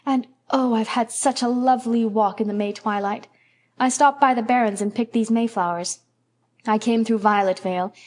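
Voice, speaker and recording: A woman voicing a dreamy, imaginative young woman who speaks warmly and poetically. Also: on the high side, steady tone, normal speed, lightly acted. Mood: delighted.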